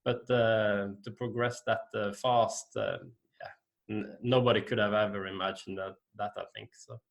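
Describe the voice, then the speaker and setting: Man, halting English with fillers. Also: on the low side, little pitch movement, creaky. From Norway. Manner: hesitant, many fillers and restarts. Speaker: guest. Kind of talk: casual interview chat.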